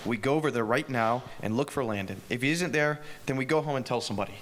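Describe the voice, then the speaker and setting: A man's voice: medium pitch, fast, fairly bright tone, close to an everyday voice. Man playing an anxious, stammering teenage boy. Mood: insistent. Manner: stammering, earnest. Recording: live read, faint noise and clicks.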